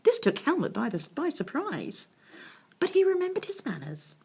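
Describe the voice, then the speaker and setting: A woman's voice: high voice, wildly swooping pitch, normal speed, gravelly, a little mellow. A woman reading a children's bedtime story expressively, with confiding asides to her young listeners.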